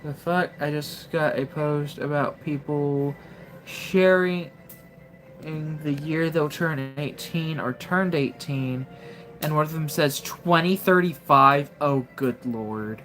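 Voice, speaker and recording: A woman's voice: sits low, takes it slowly, fairly bright tone, faint music behind, rough recording. A woman in her twenties casually commenting on her gameplay during a live stream, wry and joking, often exclaiming to herself. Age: 20s.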